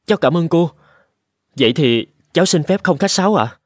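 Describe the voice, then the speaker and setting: An actor playing a humble, polite young jobseeker from the countryside in a cartoon, hesitant and earnest. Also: male voice, fairly high pitch, animated, a little fast, breathy edge, no room sound, studio quality. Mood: grateful. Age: young adult. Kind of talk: animated moral-tale voice acting. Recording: prominent music under the voice.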